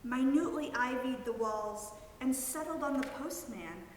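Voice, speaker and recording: A woman reading a literary story aloud as a measured dramatic narration. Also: sits high, animated. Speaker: reader in a church's dramatic reading. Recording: very echoey hall, distant mic, faint noise.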